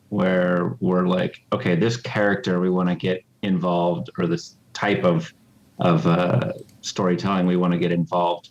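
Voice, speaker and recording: Male designer guest, lowish voice, casual delivery, muffled lo-fi audio. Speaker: game designer being interviewed. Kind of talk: casual podcast interview. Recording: dull, lo-fi sound.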